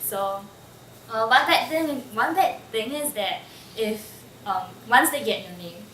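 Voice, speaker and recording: A woman's voice: on the high side, expressive, measured pace, pushed and bright, slight background noise. A woman, casually telling personal stories and full of "like" fillers. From Singapore. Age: 20s. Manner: chatty, lots of "like" fillers. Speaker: interviewee. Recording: roomy room, mic at a distance, faint ambience.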